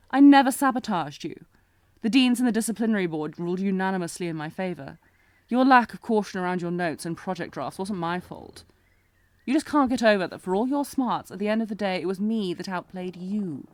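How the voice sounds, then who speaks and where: A woman's voice: mid-range voice, darkish tone, no room sound, natural voice. A woman playing a sardonic, protective knight bodyguard in a fantasy audio roleplay, with a taunting, commanding, intense manner. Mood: teasing, smug. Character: sardonic, protective female knight bodyguard, old academy rival. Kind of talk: scripted fantasy audio roleplay, acted one-sided dialogue.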